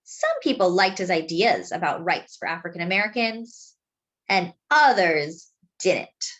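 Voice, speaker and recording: A woman reads a picture book aloud expressively, explaining things simply and deliberately as if to young children. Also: lowish voice, sing-song, a bit bright. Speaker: children's storybook reader.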